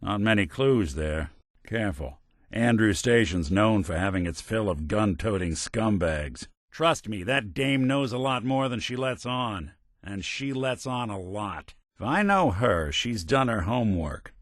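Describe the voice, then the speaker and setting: A man's voice: on the low side, expressive, a little slow, no echo, studio quality. A man voicing a weary, wry old-school detective robot with an American accent and hard-boiled noir phrasing, in a video game. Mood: wary, advising.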